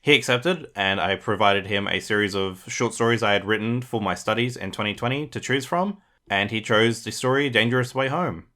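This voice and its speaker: Male host for a video intro: crisp bright edge on a fairly low voice. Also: fairly quick. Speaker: channel host.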